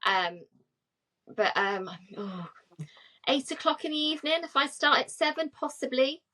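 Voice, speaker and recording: A woman's voice: high-pitched, animated, measured pace, bright, forceful tone, rough recording. A woman in her forties co-hosting a casual chat podcast, talking chattily with lots of fillers and frequent laughs. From England. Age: 40s. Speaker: podcast co-host.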